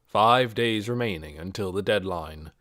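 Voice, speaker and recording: Reader as male president, grave, formal, measured news announcement, storybook character, dry sound. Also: fairly low pitch, fairly bright tone, no echo, pitched below the performer's own voice. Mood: grave, official.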